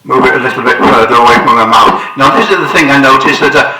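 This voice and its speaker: A man's voice: mid-range voice, fast, background noise. A man giving a casual hands-on demonstration of CB radio equipment, thinking aloud as he fiddles with settings and microphones. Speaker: CB radio hobbyist demonstrating gear. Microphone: headset microphone for part of the test.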